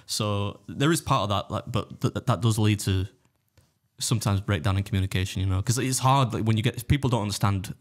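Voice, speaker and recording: A man's voice: fairly low pitch, brisk. A man with a Yorkshire accent chatting casually on a podcast, telling anecdotes in a rambling, self-deprecating way. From Britain. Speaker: competitive eater and video creator.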